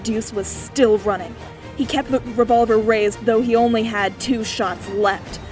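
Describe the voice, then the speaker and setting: A woman narrating a dieselpunk noir audiobook with steady, clear storytelling. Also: on the high side, unhurried, faint music behind. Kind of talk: scripted narration.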